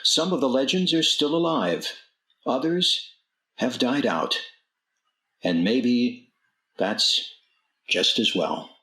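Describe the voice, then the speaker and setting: A man hosting a radio theatre show, reading scripted narration in a measured, dramatic presenter's manner. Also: medium pitch, animated, pushed and bright. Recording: roomy, echoing voice recording.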